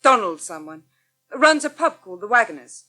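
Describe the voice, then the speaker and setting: Blunt, indignant young female flatmate, bright, animated delivery, naturalistic radio soap. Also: on the high side, normal speed. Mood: indignant, accusing. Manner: blunt, sharp-tongued.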